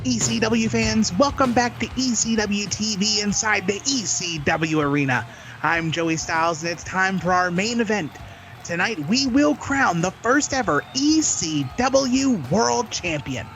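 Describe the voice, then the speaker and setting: Guy doing an excited wrestling tv announcer, high voice, big dramatic intros, light music behind. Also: measured pace. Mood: hyped. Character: excited, polished male wrestling TV play-by-play announcer. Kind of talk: wrestling commentary in amateur audio play.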